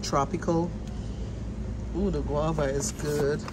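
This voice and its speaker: Slow-talking woman filming in a store. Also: deep voice. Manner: relaxed, reads labels aloud in short bits. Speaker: shopping vlogger. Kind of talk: casual shop-with-me narration. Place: indoors in a grocery store. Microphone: phone held while filming. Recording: loud store background noise.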